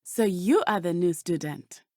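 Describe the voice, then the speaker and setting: A woman's voice: lowish voice, lively intonation, a little slow, darkish tone, no echo, clean studio sound. A woman playing an earnest, brave teenage schoolgirl witch in a video game, speaking in formal, precise phrases. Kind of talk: video game character dialogue.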